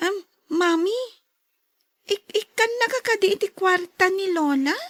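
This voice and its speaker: A schoolchild in a radio drama, earnest and full of questions. The pitch is extremely high, the accent Ilocano, and the sound very dry. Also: female voice, a little slow, a bit bright, no room sound. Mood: earnest, pleading.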